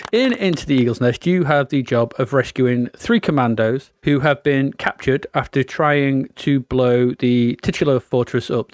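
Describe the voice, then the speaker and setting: Man, congested voice, mid pitch, meandering chat, dry recording. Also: no echo. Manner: chatty, meandering explanations. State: congested with a cold. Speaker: podcast co-host. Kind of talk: casual chat.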